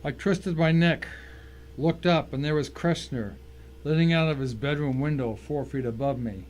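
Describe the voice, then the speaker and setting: Higher-voiced man narrating dramatically. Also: normal speed, a little mellow, low-quality recording. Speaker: story narrator. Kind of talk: dramatic first-person audiobook narration. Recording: faint noise and ambience behind.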